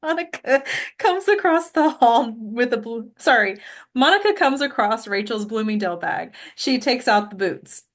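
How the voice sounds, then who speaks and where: A woman's voice: fairly high pitch, lively intonation, moderate pace. A woman reading stage directions as scripted narration at a table read.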